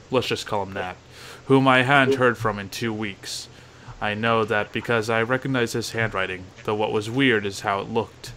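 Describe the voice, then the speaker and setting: A man reading a first-person horror story as narrator, with expressive, emphatic storytelling. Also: fairly low pitch, slight background noise, poor audio. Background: music under the narration.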